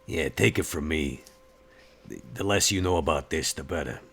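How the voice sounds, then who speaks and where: A man playing a gruff, laconic male vampire in a tabletop game, with a gravelly, husky voice and unhurried, old-time slangy talk. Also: very deep voice, a bit bright, exaggerated voice. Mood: gruff, advising.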